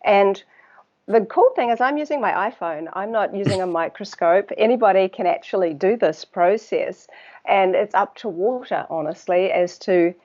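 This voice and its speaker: A woman's voice: medium pitch, expressive, darkish tone. Woman with a New Zealand accent, lo-fi. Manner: thoughtful, unhurried, searching for words with fillers. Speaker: guest, water researcher. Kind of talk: casual interview talk.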